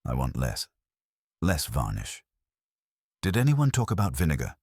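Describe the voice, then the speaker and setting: A man voicing a brisk, wisecracking male detective who questions people tersely and wryly in an audiobook mystery. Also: rumbling low, wildly swooping pitch, a little mellow, husky, no echo, studio quality, exaggerated voice. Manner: terse, wry.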